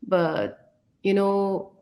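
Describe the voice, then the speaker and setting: A woman's voice: medium pitch, sing-song, drawn-out words, mellow. A woman author chatting casually about writing in an encouraging way, often repeating phrases for emphasis. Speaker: author, co-presenter.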